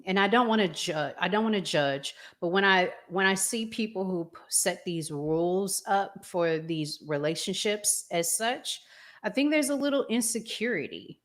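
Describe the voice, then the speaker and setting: A woman hosting a casual discussion, talking in a measured, deliberate way with pauses. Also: medium pitch, expressive. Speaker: host.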